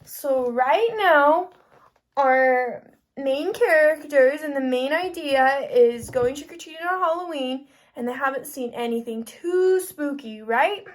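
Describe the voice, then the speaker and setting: Slow, high-pitched woman teacher reading aloud. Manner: expressive, asks the listeners questions and explains. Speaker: kindergarten teacher. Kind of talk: interactive picture-book read-aloud for young children.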